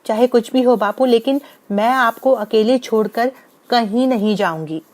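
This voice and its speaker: A woman's voice: fairly high pitch, normal speed, a little mellow, close to an everyday voice. A woman voicing a devoted young village daughter in a moral-story cartoon, earnest and emphatic. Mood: firm, pleading.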